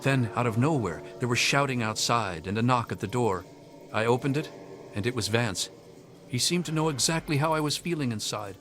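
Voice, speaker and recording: A man's voice: medium pitch, normal speed, background noise, lightly acted. An actor playing a troubled, orphaned young man in a video game, speaking in a plain, reflective way. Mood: somber, confessional.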